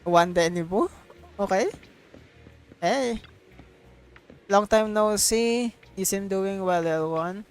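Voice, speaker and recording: A woman streamer with a mid-pitched voice chats over a game. She is casual and slangy, reading text aloud and tripping over words now and then. Game music plays behind her. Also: slow, pauses often, darkish tone, smooth. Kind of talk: livestream game commentary and reading dialogue aloud.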